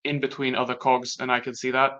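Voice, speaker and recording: A young man from Latvia, with Latvian as his first language, speaks in a casual conversation. His voice is bright and forceful, and his pitch sits in the middle. He talks reflectively and haltingly, restarting often, and the recording sounds dull and lo-fi. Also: completely flat delivery. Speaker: guest.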